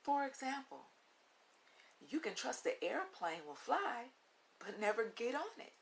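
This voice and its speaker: A woman reading a children's book aloud as a storytime host, with deliberate, instructive phrasing and emphatic pauses, on a recording with audible hiss. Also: on the high side, very animated, vocal fry. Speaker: read-along storytime host. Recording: audible hiss and background noise.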